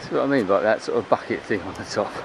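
A man's voice: on the low side, fast talker. A man gives a casual, rambling, unscripted commentary as he walks, recorded outdoors on a handheld camera. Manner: chatty, rambling. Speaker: vlogger filming a beach walk. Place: open beach at low tide. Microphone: handheld camera's built-in microphone. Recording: outdoor handheld recording, clear wind and water noise.

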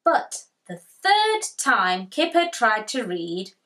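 A woman in her thirties reading aloud to young children as a storytime host, with deliberate emphasis and pauses to ask listeners questions. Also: fairly high pitch, sing-song, sharp tone. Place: small indoor room with bookshelf.

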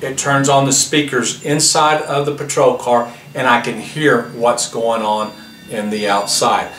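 A man's voice: medium pitch, steady tone, measured pace, fairly bright tone. A man in his fifties explaining a product in a demonstration style. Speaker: product presenter. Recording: roomy, distant mic, faint background noise.

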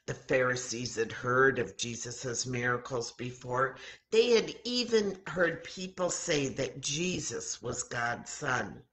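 Low-voiced older American woman reading a children's story. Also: animated, a bit bright. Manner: dramatic, deliberate, acting out dialogue. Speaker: children's story time host at a church. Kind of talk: expressive read-aloud of a children's Bible story. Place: home kitchen, indoors. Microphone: webcam or laptop mic at arm's length.

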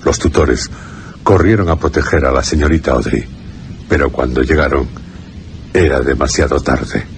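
A man reading scripted horror narration for a radio drama in a solemn, measured way. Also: a bass voice, slight background noise. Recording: radio drama with music behind.

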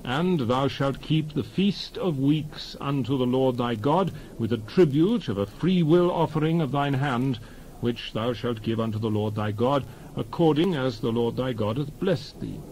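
A man reads scripture aloud as a dramatized narration. His voice sits at a middle pitch, and he phrases solemnly and deliberately, pausing between clauses. A faint background noise runs under the recording. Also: a little mellow, low-quality recording. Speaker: Bible reader.

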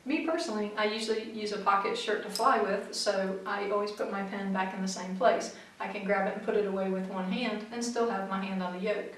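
A middle-aged woman flight instructor, calmly explaining practical tips to camera in a methodical way. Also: medium pitch, a little fast, hardly any pauses, a little hiss or hum. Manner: clear, methodical, practical advice. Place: small studio room in front of a backdrop, seated. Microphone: far camera mic. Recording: very echoey room, mic far off.

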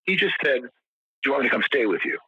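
Older male guest, husky forceful voice, rambling storyteller, dry lo-fi audio. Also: medium pitch, expressive, quick pace, dry recording. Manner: rambling, many restarts and repeated words. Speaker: guest musician. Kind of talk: casual interview storytelling.

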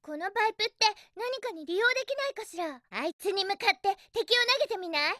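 Very high, perky cartoon hedgehog girl. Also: expressive, fairly quick, sharp tone, no echo, studio quality, exaggerated voice. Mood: focused. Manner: perky, emphatic, feisty exclamations. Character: spirited, bossy, quick-tempered young female pink cartoon hedgehog. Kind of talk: Japanese video game character voice clips.

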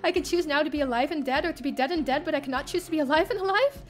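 A woman acting a distraught young horror heroine, high-pitched. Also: very fast, lightly acted. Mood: desperate. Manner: dramatic, anguished.

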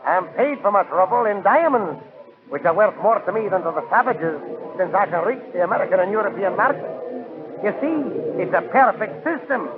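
A sinister, courteous schemer from a 1940s radio play. His high voice gloats with oily formality. The sound is tinny and very noisy, like an old broadcast. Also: lively intonation, normal speed. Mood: gloating menace. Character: smug, sinister villain, genteel male diamond schemer.

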